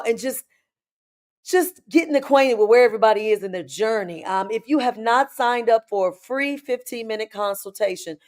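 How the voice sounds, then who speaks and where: A woman with a hoarse, raspy voice, hosting a casual live-stream chat as a spiritual coach and talking familiarly to her viewers. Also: on the high side, darkish tone. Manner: chatty, familiar, calls viewers "baby" and "y'all".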